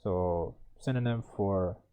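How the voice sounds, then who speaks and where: A man's voice: low voice, slow, phone-quality sound. A young man tutoring, reading an exam passage aloud with many stumbles and self-corrections, then thinking through the answers. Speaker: IELTS tutor.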